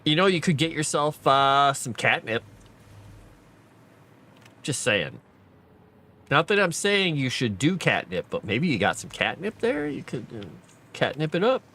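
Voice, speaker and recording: A man's voice: fairly high pitch, big pitch swings, a little slow, long pauses, sharp tone. Tired man rambling through a game stream. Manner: thinking aloud, rambling, chatty with viewers. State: tired, fading late at night. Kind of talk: casual gameplay live stream commentary.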